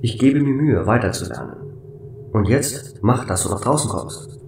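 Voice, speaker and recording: A man's voice: medium pitch, animated, gravelly, a little mellow. Man acting a distant, echoing computer assistant. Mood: helpful. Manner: measured, formal, matter-of-fact. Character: calm polite male station computer assistant. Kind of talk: radio drama acting. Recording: roomy, distant, faint noise.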